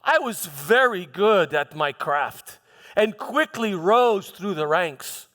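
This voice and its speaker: Man acting as proud Roman governor, defensive, high-pitched, forceful, declamatory, theatrical monologue, long hall reverb. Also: animated, exaggerated voice. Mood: proud, reminiscing. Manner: declamatory, emphatic, halting with repeated words. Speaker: preacher performing a dramatic monologue. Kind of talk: first-person theatrical church monologue. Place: large hall, live church performance.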